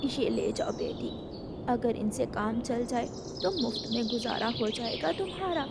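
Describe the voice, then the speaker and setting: A woman voicing a kindly, caring old mother bird in a cartoon, with a calm motherly manner. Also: on the high side, a little slow, gravelly, heavy background noise.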